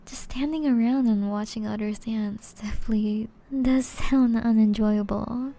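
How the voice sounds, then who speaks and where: A woman playing a flirty, elegant, ageless female vampire in an intimate ASMR roleplay, soft and often whispery, speaking in a refined, formal, teasing way. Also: on the high side, steady tone, normal speed, natural voice. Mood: seductive.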